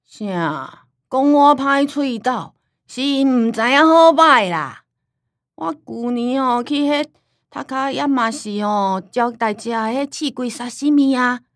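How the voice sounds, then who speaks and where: A woman's voice: high voice, lively intonation, slow, no room sound, studio quality, full character voice. Stubborn old Taiwanese grandmother. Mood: animated, chatty. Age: older. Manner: rambling, folksy storytelling with sighing interjections. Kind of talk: radio drama acting in Taiwanese Hokkien.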